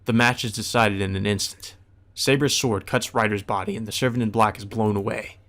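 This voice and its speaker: A man's voice: lowish voice, fairly even intonation, fairly quick, a bit bright. A man reading visual novel narration aloud in a dramatic first-person storytelling style for a let's play.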